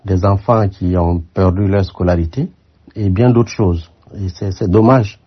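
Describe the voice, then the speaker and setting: An older man from Burkina Faso, a former military aide, answering interview questions in a measured, reflective way with frequent hesitations. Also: deep voice, steady tone, breathy edge. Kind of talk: radio interview. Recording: muffled lo-fi sound.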